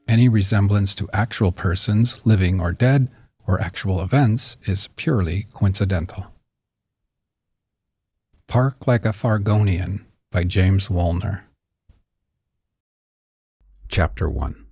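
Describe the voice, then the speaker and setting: A man narrating scripted noir fiction in a measured, wry, theatrical storyteller's manner. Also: sits low, darkish tone, husky, no room sound. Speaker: narrator and author.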